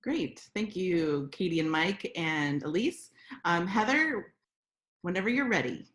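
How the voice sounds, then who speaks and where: A woman's voice: fairly low pitch, animated, unhurried, a bit bright. A woman hosting and presenting an online event as festival co-founder.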